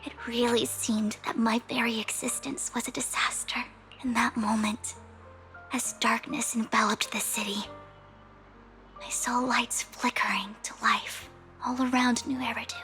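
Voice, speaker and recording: A woman voicing a devoted, formal young woman in a video game cutscene, speaking in a hushed, breathy way. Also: fairly high pitch, flat delivery, measured pace, soft background music. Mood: whispered confession. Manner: hushed, breathy confiding delivery.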